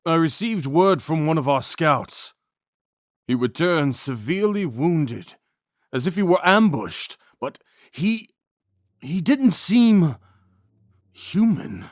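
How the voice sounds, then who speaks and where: A man's voice: on the high side, sing-song, slow, pauses often, no echo, studio quality. An actor playing an earnest, troubled soldier reporting to his lord in a fantasy audio drama, respectful and hesitant. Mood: troubled, urgent.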